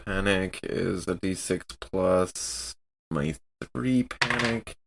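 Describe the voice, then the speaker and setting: A man's voice: low voice, slow, creaky. A man playing a tabletop role-playing game, chatting casually as he describes his moves and reads out rolls.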